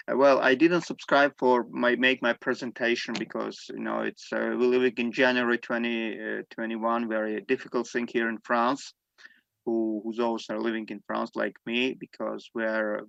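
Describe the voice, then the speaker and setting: Armenian-native guy talking english on a video call, lots of uh and restarts, kinda flat, gravelly, muffled dry sound. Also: mid-range voice, dry recording. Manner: halting, many fillers and restarts. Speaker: panel speaker giving testimony. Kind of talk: unscripted spoken testimony.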